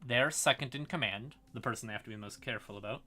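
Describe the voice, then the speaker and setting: A man giving an informal livestream explainer on fantasy lore, halting and wry, with casual asides to his chat. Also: mid-range voice, pushed and bright.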